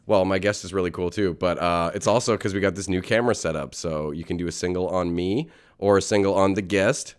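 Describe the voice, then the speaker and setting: Laid-back man with a very deep, smooth voice. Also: steady tone, brisk, few pauses. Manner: laid-back, rambling, informal. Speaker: podcast host. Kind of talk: casual chat, joking.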